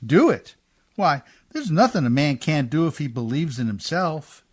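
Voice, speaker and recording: A man's voice: a little high, very animated, moderate pace. An actor in a radio play playing a humble, plucky riverboat man with folksy, rustic diction, deferential and plain-spoken. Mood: tender, hearty.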